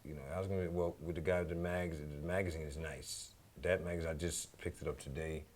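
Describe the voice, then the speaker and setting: A man's voice: a bass voice, steady tone, a little fast, darkish tone, a little hiss or hum. A man with a New York accent chatting casually as an interview guest, rambling and slangy, with lots of "you know" and "man". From New York City. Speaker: DJ and music teacher, radio show guest.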